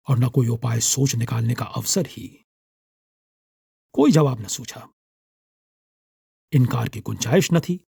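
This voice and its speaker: Slow, measured male storyteller. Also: mid-range voice, vocal fry, darkish tone, breathy edge, no room sound, clean studio sound. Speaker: narrator. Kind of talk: scripted literary story narration.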